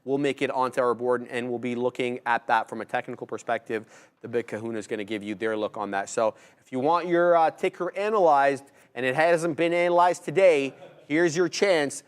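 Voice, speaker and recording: A young man co-hosting a live trading stream, calling price levels and promoting segments in an energetic, jokey way with trader slang. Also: mid-range voice, fairly quick, smooth. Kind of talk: live market commentary.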